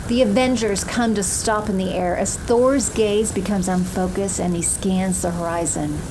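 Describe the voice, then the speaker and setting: A woman's voice: mid-range voice, steady tone, moderate pace, background noise. A woman reading scripted third-person narration for an audio drama.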